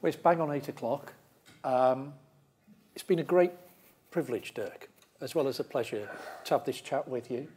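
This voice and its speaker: A man with a rather husky, medium-pitched voice hosts a stage event. He addresses the hall formally and effusively. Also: moderate pace, long pauses, darkish tone. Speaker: interviewer and host. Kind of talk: closing remarks on stage. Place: large lecture theatre, on stage.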